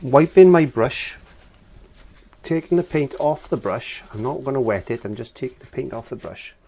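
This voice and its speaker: A middle-aged man from Wales with a croaky voice from laryngitis, talking plainly step by step as he teaches acrylic painting. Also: mid-range voice, animated, normal speed, mellow. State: hoarse from laryngitis. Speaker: art teacher. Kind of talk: painting tutorial.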